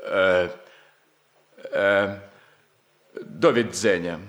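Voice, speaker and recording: A man's voice: low-pitched, fairly even intonation, drawn-out words, fairly bright tone, exaggerated voice. An actor playing a shy, bewildered and polite young student in a 1960s radio comedy, hesitant and courteous in his speech. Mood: shy, moved. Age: young adult.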